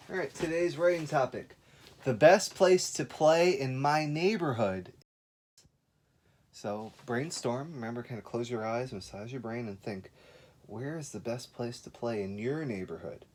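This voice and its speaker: A man with a medium-pitched voice talks like a kindergarten teacher. He explains things informally, one step at a time, with encouragement and frequent little check-ins for his young pupils. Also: darkish tone. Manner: instructive, step-by-step, frequent check-ins like okay and all right. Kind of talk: informal remote lesson for young children.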